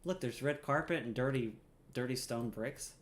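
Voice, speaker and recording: A man's voice: medium pitch, normal speed. A man giving casual, rambling livestream commentary over a game in a playful, chatty way. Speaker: game streamer.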